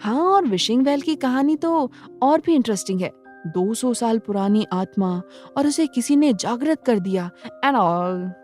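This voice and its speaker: A woman's voice: a little high, animated, a little slow, a little mellow, faint music behind. A woman voicing a mysterious young woman, probing and knowing, in an audio horror drama. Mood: sly, probing.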